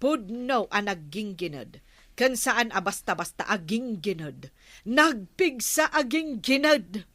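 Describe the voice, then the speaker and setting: A woman's voice: mid-range voice, big pitch swings, unhurried, fairly bright tone, no echo, studio quality. A woman with an Ilocano accent playing a village captain's nagging, exasperated wife in a radio comedy drama. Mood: scolding.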